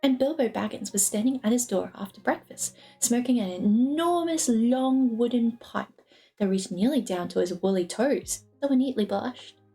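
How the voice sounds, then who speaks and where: A woman's voice: fairly high pitch, animated, normal speed, gravelly, warm tone. A woman reading a novel aloud as storybook narration. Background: lofi music.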